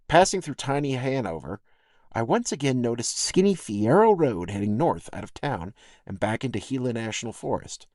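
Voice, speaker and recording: A man's voice: mid-range voice, a little mellow, no echo, clean studio sound. A man reading his own scripted personal essay as a podcast host, in a reflective, folksy storytelling way.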